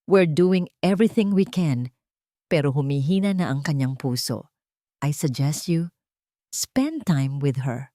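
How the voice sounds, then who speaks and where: A woman doing a calm doctor giving grave news. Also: low voice, unhurried, no room sound, studio quality.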